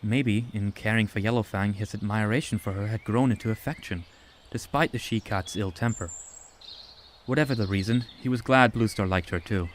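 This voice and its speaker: Male audiobook narrator, low register, scripted storytelling delivery, faint nature ambience behind the voice. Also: normal speed, a little hiss or hum.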